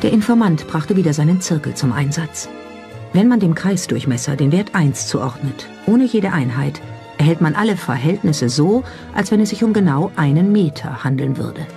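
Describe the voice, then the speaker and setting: Documentary narrator, medium pitch, measured and suspenseful scripted read, music underneath. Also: lively intonation, creaky, dark tone. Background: background music.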